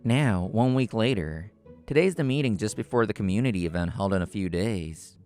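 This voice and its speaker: A man with a low voice narrates a scripted story. His delivery is measured and explanatory, told as the main character himself. Soft music plays underneath. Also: animated. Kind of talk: scripted first-person narration.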